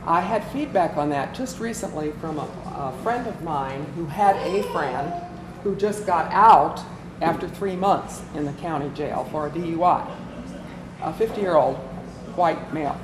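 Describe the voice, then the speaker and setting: A woman's voice: deep voice, a little slow. A woman giving an informal workshop talk as a volunteer presenter, conversational and inclined to tell stories. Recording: echoey room with clear background noise.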